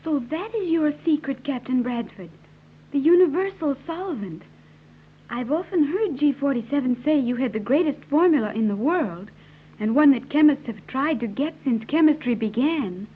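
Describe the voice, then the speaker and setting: A woman's voice: high voice, expressive, moderate pace. A woman acting a naive, earnest young island woman in an old-time radio serial, speaking formally with careful diction. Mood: earnest, curious. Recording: tinny, narrow-band vintage radio recording.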